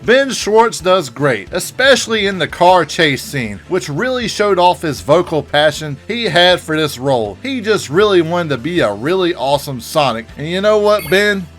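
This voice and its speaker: A man's voice: fairly high pitch, big pitch swings, moderate pace, few pauses, fairly bright tone, faint music behind. A man giving a casual, opinionated movie review in a chatty, joking, slangy way.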